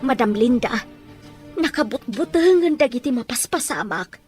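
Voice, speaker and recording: A woman's voice: on the high side, animated, normal speed, darkish tone, soft background music, natural voice. An actress with an Ilocano accent playing an anxious, loyal female household helper in a radio drama. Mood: worried, deferential.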